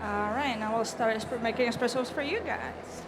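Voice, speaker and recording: A woman with a Czech accent, a native Czech speaker, giving a careful, rehearsed barista competition presentation on stage. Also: on the high side. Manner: measured, careful, formal. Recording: noisy event venue ambience.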